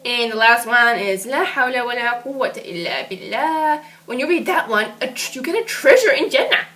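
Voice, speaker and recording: A boastful little girl puppet, voiced by a woman, bossy and quick to whine when things go wrong. Her voice sits very high and swings wildly up and down. The tone is bright and sharp. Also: animated, poor audio. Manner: bossy, show-off, gets weepy. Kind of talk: children's puppet show.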